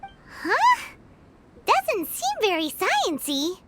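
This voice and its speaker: A woman voicing a tiny, childlike floating girl companion in a video game, squeaky, excitable and quick to exclaim. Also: noisy background, exaggerated voice. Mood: doubtful, nervous. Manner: squeaky, third-person cutesy, exclaiming. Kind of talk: English video game voice acting.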